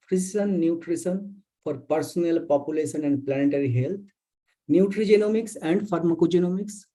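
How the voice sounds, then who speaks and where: A man with an Indian English accent presents as a guest professor in an academic webinar, choosing his phrases carefully and haltingly. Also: on the high side, mellow, rough recording. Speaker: visiting professor, guest lecturer.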